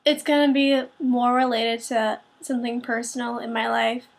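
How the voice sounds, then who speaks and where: A young woman who is a little sick and raspy, talking informally and a bit self-consciously in a personal video. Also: a little high, flat delivery, moderate pace. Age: young adult, student. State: slightly sick with a cold-like rasp. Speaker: vlogger. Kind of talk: personal talk to camera.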